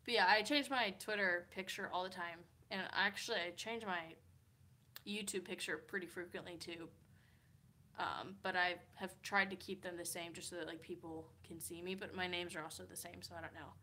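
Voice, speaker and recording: Bright-voiced young woman rambling casually. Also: lowish voice, steady tone, moderate pace, slight background noise. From Kansas, USA. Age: 20s. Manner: chatty, rambling, many "like" fillers, laughs often. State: tired. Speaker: livestreamer. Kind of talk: casual livestream chat reading comments. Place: hotel room.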